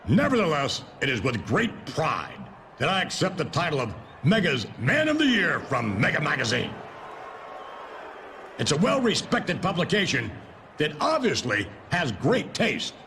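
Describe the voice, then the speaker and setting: A man's voice: a little high, huge pitch swings, measured pace, pushed and bright, a little hiss or hum, exaggerated voice. A man playing a pompous, self-admiring wrestling company boss in a video game, speaking theatrically. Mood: smug self-praise. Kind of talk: video game cutscene speech.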